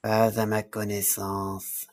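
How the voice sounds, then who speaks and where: A man plays a courteous science-fiction count with a fairly low voice. He speaks slowly and formally, with measured politeness that stays cool and evasive, as in an audio drama. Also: completely flat delivery, darkish tone. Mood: coolly regretful. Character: suave, courteous male alien count, coolly evasive. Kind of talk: French audio drama acting.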